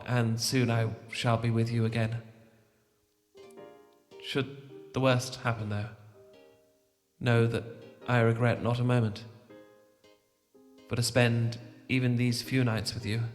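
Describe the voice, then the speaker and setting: A man with a British accent voicing a devoted young English vampire gentleman who speaks softly, earnestly and formally. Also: fairly low pitch, lightly acted. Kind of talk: romantic ASMR roleplay, reading a farewell letter. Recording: long reverb with guitar music behind.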